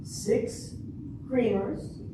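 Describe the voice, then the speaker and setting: A woman playing a helpful coffee shop waitress in an amateur readers' theater comedy. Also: drawn-out words. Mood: obliging. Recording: echoey room, distant mic, loud background noise.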